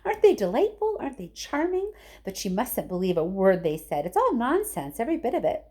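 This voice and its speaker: A woman voicing a gleeful, excitable, whimsical chocolate factory owner, an older man, in a storybook reading full of exclamations. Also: mid-range voice, fairly quick. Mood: delighted.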